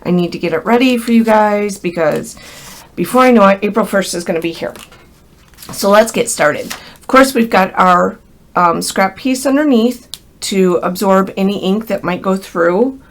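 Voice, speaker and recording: A woman's voice: medium pitch, normal speed. An American woman with a crackly voice from a cold gives a casual, chatty hands-on craft tutorial, speaking directly and in a friendly way to viewers. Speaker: craft instructor.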